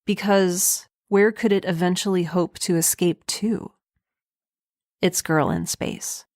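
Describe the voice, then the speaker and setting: A woman's voice: on the low side, steady tone, slow, darkish tone. A woman playing an anxious, wry young space castaway recording an audio drama log, confiding and hesitant as she corrects herself. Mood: wistful. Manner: hesitant, self-correcting, rambling, dryly wry. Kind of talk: audio drama monologue, confiding diary narration.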